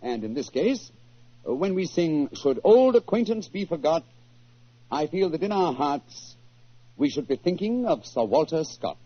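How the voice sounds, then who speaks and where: A man playing a shrewd, self-assured British gentleman detective in a 1940s radio drama, explaining precisely and theatrically. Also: on the high side, big pitch swings, unhurried. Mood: pleased, ceremonious. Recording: dull old 1940s radio recording.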